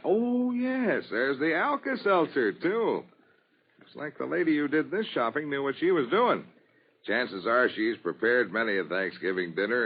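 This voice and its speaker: A man's voice: lowish voice, husky, muffled recording. An American man reading a 1940s radio commercial in a chatty, folksy salesman's manner, on a vintage broadcast recording. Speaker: radio announcer reading a sponsor ad. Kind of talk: 1940s radio advertising pitch.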